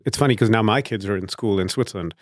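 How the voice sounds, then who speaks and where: A man's voice: fairly low pitch, quick pace, no room sound, clean studio sound. A man hosting an interview show, asking curious, conversational questions with hesitant restarts. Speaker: podcast host.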